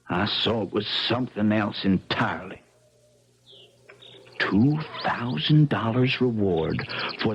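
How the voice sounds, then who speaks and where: An easygoing, kindly fellow spinning a yarn with lively pitch. His talk is folksy and colloquial, in an old radio drama with a dull, lo-fi sound. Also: a little hiss or hum. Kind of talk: 1970s radio drama acting and narration. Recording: dull 1970s radio broadcast.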